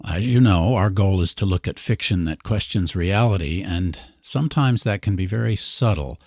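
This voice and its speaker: A man hosting an interview podcast, putting prepared questions in an articulate, deliberate way. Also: low voice, a little mellow, dry recording, clean studio sound.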